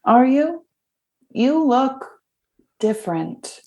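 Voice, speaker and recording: A woman's voice: high voice, big pitch swings, drawn-out words, darkish tone, dull audio, heavily acted. A woman acting a teasing, guarded space officer with a dry manner in an audio drama.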